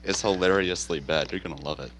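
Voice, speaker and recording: A man's voice: low voice, fast, crowd noise. A man playing a tabletop game, joking and bantering playfully. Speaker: player. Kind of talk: casual tabletop game play.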